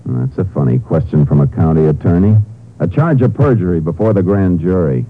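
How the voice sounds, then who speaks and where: Deep-voiced, no-nonsense Texas Ranger, old radio. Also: male voice, expressive, a little fast. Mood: firm, insistent. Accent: American. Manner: clipped, matter-of-fact, authoritative. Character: firm, terse male Texas Ranger investigator. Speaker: lead actor of a radio crime series. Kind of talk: 1950s American radio drama acting. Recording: muffled, noisy old radio broadcast recording.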